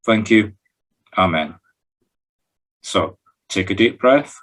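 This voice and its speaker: Male Scottish meditation guide, low-pitched husky voice, short spoken instructions with an improvised feel. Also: animated, slow pace, a bit bright. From Scotland. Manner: instructing in short, separate phrases. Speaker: medium leading a guided meditation. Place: recorded over a video call.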